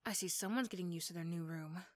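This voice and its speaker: A woman's voice: lowish voice, fast talker, dry recording, pitched below the performer's own voice, natural voice. A woman playing a mean but grudgingly sympathetic supervillain in an ASMR roleplay, with a dry, teasing, condescending manner. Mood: dry, skeptical. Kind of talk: ASMR roleplay character acting.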